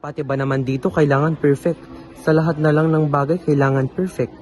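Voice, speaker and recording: A man's voice: a little high, brisk, faint music behind, lightly acted. A young man playing a lonely eighteen-year-old student who thinks he is ugly, brooding in inner monologue. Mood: frustrated. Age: young adult. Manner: brooding inner monologue turning to excited chatter. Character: lonely 18-year-old male student, self-pitying, calls himself ugly. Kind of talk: amateur radio drama acting.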